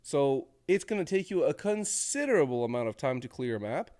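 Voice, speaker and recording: A man with a cold talks through game previews in a loose, rambling way, restarting his sentences as he thinks. His voice sits at an ordinary middle pitch, with a sniffly edge. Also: big pitch swings, fairly quick, darkish tone. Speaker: streamer. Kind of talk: casual commentary on game previews.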